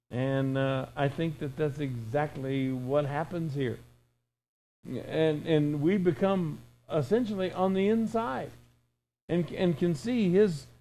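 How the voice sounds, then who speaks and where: Folksy older male preacher, higher-pitched voice. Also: warm tone, a little hiss or hum, low-quality recording. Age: 60s. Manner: folksy, acts out imagined dialogue, emphatic repetition. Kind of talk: informal, conversational sermon.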